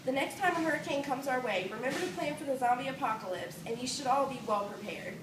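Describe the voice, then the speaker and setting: A woman's voice: high voice, expressive, fast. A woman delivering a prepared informative speech in a structured, earnest way, recorded on a phone from some distance in a room. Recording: roomy, distant phone recording with clear noise.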